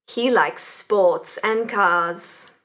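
A woman reading the part of a young Englishwoman in a language course, slow and deliberate. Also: mid-range voice, fairly bright tone. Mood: plain. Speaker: course audio reader.

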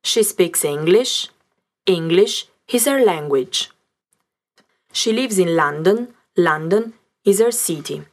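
Brief: woman lesson narrator, lowish voice, slow careful reading. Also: lively intonation. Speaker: language teacher narrator. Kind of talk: scripted language lesson narration.

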